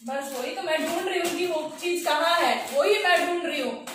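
A woman's voice: very high-pitched, normal speed, fairly bright tone. An Indian woman in her thirties chatting casually as a family vlogger. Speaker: family vlogger, wife and mother. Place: small tiled bedroom indoors. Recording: very echoey room, distant mic, background noise.

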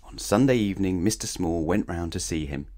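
Deep-voiced man reading a children's story. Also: brisk. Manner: animated, playful, addresses young listeners directly. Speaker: storybook reader. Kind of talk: expressive scripted children's story read-aloud.

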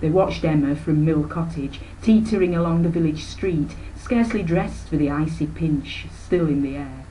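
Narrator: woman, 60s, lowish pitch, expressive storytelling, some noise on home recording. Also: normal speed, few pauses, low-quality recording. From Yorkshire, England. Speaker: author reading own novel. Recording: noticeable background noise, webcam microphone.

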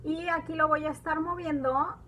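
A woman hosts a cooking video from her home kitchen. Her voice sits high, and she talks easily to the viewers as she works through each step. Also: steady tone, normal speed, sharp tone. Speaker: cooking channel host.

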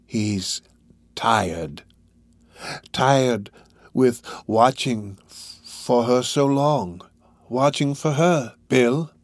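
A man's voice: mid-range voice, no room sound, clean studio sound. Man acting a sly, sinister old gang boss. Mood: menacing, slow. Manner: slow, drawn-out, emphatic, insinuating delivery. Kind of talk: dramatised audiobook of a Victorian novel.

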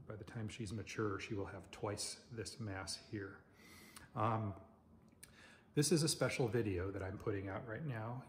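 A man in his forties from Oregon hosts an insect channel, chatting to the camera in a loud voice with lots of filler words. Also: on the low side. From Oregon, United States. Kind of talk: casual talk to camera. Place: dining room at home, indoors. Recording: very echoey room, faint noise.

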